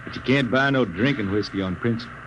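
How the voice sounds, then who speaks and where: A man's voice: mid-range voice, quick pace, lo-fi sound, natural voice. An American actor playing a defiant, folksy spaceship jetman in a drawling, insolent 1950s radio drama style. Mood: bitter, resigned. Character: defiant, folksy spaceship jetman who writes bawdy songs. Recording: noisy vintage 1950 radio broadcast.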